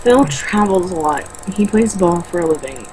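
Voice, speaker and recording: A woman's voice: lowish voice, lively intonation, normal speed, a little mellow, slight background noise, close to an everyday voice, poor audio. Woman reading as a guarded, awkward teenage girl. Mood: subdued, reluctant. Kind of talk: acting dialogue in an amateur novel read-along.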